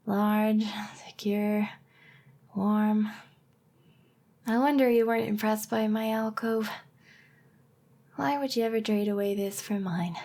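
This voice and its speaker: Woman voicing a young harpy girl, anime ASMR roleplay, clingy and earnest, whispery, creaky edges, unhurried pace. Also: medium pitch, steady tone, mellow. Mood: dejected, resigned. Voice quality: breathy, often whispery. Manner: hesitant, halting, earnest. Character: young female harpy girl, hyper, clingy, lovesick and shy. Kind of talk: anime ASMR roleplay acting, intimate address to listener.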